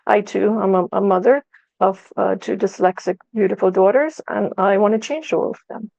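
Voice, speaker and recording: A woman from Canada giving a measured, formal introduction on a panel. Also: medium pitch, gravelly, darkish tone, dry recording, dull audio.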